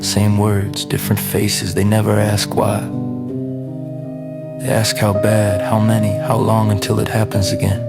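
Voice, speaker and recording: A man reading scripted noir detective narration in short clipped sentences, grim, terse and measured. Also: fairly low pitch. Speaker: narrator of a neo-noir audio drama. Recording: loud music under the voice. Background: plucked guitar music.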